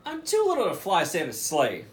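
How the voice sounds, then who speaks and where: A man's voice: on the high side, wildly swooping pitch, normal speed, a little hiss or hum, pitched above the performer's own voice. A man voicing a small, earnest young female reindeer in a storybook, sounding plaintive. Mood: discouraged.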